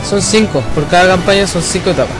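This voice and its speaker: High-voiced young Chilean male gamer, slangy and directive, loud game audio underneath. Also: quick pace, low-quality recording. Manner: directive, slangy, repeats instructions. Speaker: gamer leading a co-op zombie shooter session. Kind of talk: casual game commentary with teammates. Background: video game sound and music.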